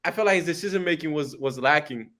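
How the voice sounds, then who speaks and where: A young man with a Haitian accent, co-hosting a podcast and arguing about football with animated energy. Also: fairly high pitch, fast talker. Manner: animated, laughs and cuts in. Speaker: podcast co-host. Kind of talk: casual football debate.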